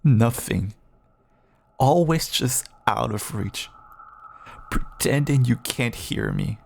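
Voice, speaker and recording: A man playing a caged feral vampire who pleads clingily and bitterly with an estranged partner in an intimate dramatic audio roleplay, his voice husky and gravelly. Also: mid-range voice, expressive, slow pace, a little hiss or hum. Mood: yearning, bitter. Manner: clingy, pleading, swinging from hushed endearments to bitter outbursts.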